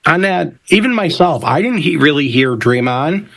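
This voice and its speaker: A man's voice: a little high, lively intonation, moderate pace, creaky, fairly bright tone. Man in his fifties chatting casually. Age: 50s. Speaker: panel member. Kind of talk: casual chat.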